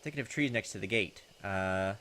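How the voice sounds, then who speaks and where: A man's voice: on the low side, normal speed, bright, forceful tone, slight background noise. A man hosting a let's play, reading visual novel text aloud and chatting in a hesitant, thinking-aloud way. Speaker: gaming video host. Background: game music.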